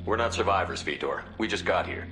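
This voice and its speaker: An actor playing a resolute, reassuring military commander in a video game, with a direct, probing way of talking. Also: male voice, low voice, lively intonation, a little fast, pushed and bright, a little hiss or hum. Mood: firm, questioning. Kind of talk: video game cutscene acting. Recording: roomy echo, music underneath.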